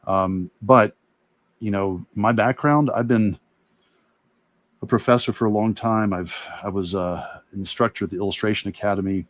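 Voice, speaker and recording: A middle-aged man, an art teacher, talking earnestly and reflectively in halting phrases in a casual livestream discussion. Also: fairly low pitch, fairly even intonation, no room sound. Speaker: artist and illustration teacher, guest.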